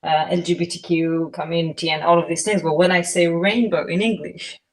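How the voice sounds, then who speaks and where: Woman, native Persian speaker, gravelly voice, distant mic, casual panel talk. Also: on the low side, fairly even intonation, fairly quick. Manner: casual, filler-heavy. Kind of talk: panel discussion. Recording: distant microphone.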